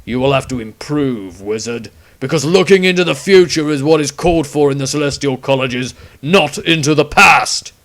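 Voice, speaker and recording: A man's voice: medium pitch, normal speed, few pauses, a bit bright, pitched above the performer's own voice, natural voice. A man voicing a fierce, spiteful, zealous witch hunter in a fantasy audiobook, commanding and accusing in manner. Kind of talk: fantasy audiobook character acting.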